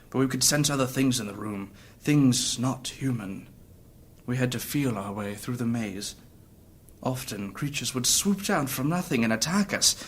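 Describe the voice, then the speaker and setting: A man's voice: mid-range voice, slight background noise, close to an everyday voice. A man playing a grave, guarded adventurer in a fantasy audio drama, speaking in solemn, formal, old-fashioned phrasing. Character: grave battle-worn adventurer recounting past horrors, courteous, guarded.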